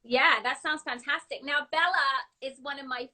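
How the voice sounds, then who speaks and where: A woman's voice: high voice, fairly even intonation, moderate pace, sharp tone. A woman hosting a live online interview, effusive and encouraging, often turning to address viewers. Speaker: host.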